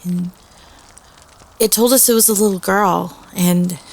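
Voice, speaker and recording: A woman's voice: medium pitch, steady tone, measured pace. A woman playing a teenage girl telling a spooky campfire story in a casual, hesitant, rambling way for a roleplay audio. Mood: eerie recollection.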